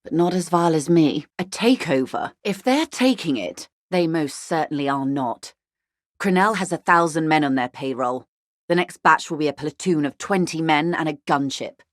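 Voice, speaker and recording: A woman's voice: low voice, dry recording, clean studio sound. A woman playing a composed, weary and sardonic corporate negotiator in a video game, speaking in formal, articulate, dryly ironic dialogue. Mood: guarded, stern. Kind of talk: dramatic game dialogue, measured and literate.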